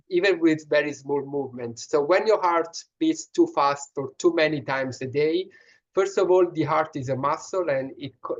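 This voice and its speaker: A man's voice: fairly high pitch, muffled recording. A man with a strong Italian accent, a pediatrician answering questions in an online session, explaining earnestly with many restarts and fillers. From Italy.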